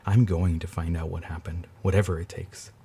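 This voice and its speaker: Grieving brother , male actor: dark, raspy voice, low-key determination. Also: level tone, moderate pace, full character voice. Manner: quiet, resolute, weary. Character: grieving man searching for his missing sister.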